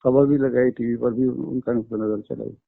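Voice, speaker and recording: A man from Pakistan speaks over a tinny, phone-like line, his voice gravelly with fry. He talks in a measured, earnest way, often pausing to hesitate as he explains. The sound is dry and close, with no room around it. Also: medium pitch, level tone, no echo. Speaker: interview guest.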